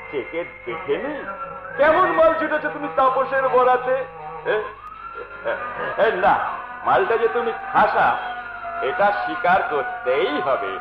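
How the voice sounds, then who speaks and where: A man playing a lecherous, scheming villain in folk theatre, with leering, drawn-out, swooping delivery. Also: high-pitched, exaggerated voice. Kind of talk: Bengali jatra folk-theatre acting. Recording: echoey, dull stage-play recording with loud music.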